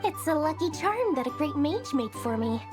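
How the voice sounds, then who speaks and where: A cheeky young merchant girl for a video game. She is high and swoops about excitably, with theatrical, salesy flair. Music plays behind her. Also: normal speed. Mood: indignant, scheming.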